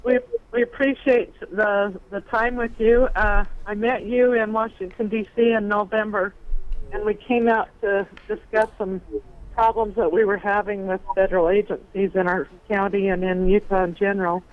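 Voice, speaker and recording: A woman's voice: medium pitch, fairly even intonation, normal speed. A woman calling in to ask a question, speaking hesitantly and repeating words. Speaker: caller, county official. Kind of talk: asking a question by phone. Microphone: telephone. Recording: dull noisy phone line.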